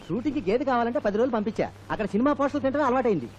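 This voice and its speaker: An actor playing an exasperated buffalo owner in a film comedy. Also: male voice, extremely high pitch, animated, racing, lo-fi sound, a little hiss or hum. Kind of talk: Telugu film comedy acting.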